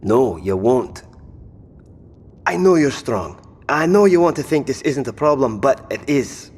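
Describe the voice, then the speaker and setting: An actor playing a caring, gruff male survivor tending a wounded companion, wry and concerned, in an audio drama. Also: mid-range voice, sing-song, unhurried, darkish tone, heavily acted. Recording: reverberant room, faint noise.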